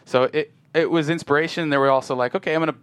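A man being interviewed as a screenwriter, talking casually and haltingly with a modest, informal manner. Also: on the high side, fairly even intonation, clean tone, no room sound. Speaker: guest screenwriter.

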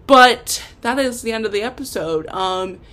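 A woman's voice: on the high side, expressive, measured pace, fairly bright tone. A young woman hosting an unscripted solo podcast, talking in a rambling, hesitant, self-deprecating way. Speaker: podcast host.

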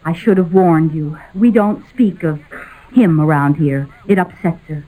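An actress playing a quick-witted, scheming wife in a 1940s radio comedy, wisecracking and mock-solemn as she improvises lies. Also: deep voice, dark tone, rough recording. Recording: old 1940s radio recording, faint noise.

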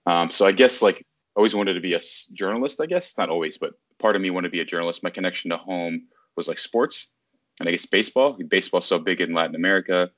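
Very low-voiced rambling man. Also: quick pace, a bit bright, dry recording. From Virginia, US. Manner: rambling, self-correcting, lots of fillers. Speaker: guest, baseball journalist. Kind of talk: casual interview on a video call. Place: city apartment at home.

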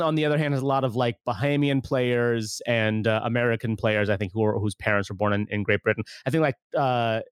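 A man's voice: mid-range voice, dry recording. A man hosting a casual podcast, chatting loosely with plenty of fillers and restarts. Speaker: podcast host.

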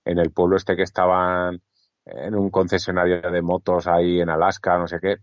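A man talks in a very low voice, rambling and repeating words as he chats casually. The sound is dry and somewhat dull, lo-fi. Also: level tone, moderate pace, no room sound. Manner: chatty, repeats words, many fillers and restarts. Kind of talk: casual chat.

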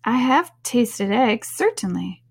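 A woman's voice: on the high side, sing-song, slow, gravelly, slightly rough, voice pushed up, heavily acted. A woman voicing a bewildered, earnest little girl in a storybook, polite yet protesting. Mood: hesitant, polite. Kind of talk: storybook character voice.

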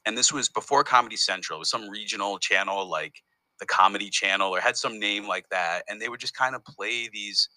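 A man's voice: lowish voice, fairly quick, bright, forceful tone, no room sound, poor audio. A man in his thirties from the United States, a comedian guest telling rambling stories in a casual interview. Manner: rambling, self-interrupting storyteller.

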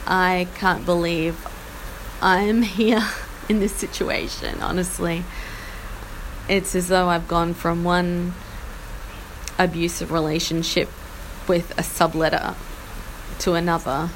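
Husky, slow-talking Australian woman. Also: lowish voice, level tone, slight background noise, poor audio. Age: 40s. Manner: rambling, self-deprecating, trailing off. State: husky from a chest infection. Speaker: podcast host. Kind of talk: casual personal update. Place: small outdoor patio in the rain. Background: faint rain.

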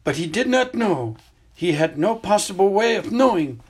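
A man voicing a calm, grave old male wizard headmaster in a gentle, measured way, with a husky voice. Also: sits high, pitched above the performer's own voice, exaggerated voice. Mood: solemn.

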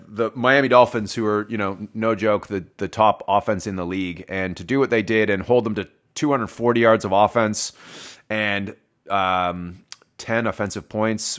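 A man with a fairly low voice, wheezy and hoarse as he runs through a football game. He rambles, corrects his own words and keeps talking to his listeners as folks. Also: fairly bright tone. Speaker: sports podcast host. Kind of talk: solo game review.

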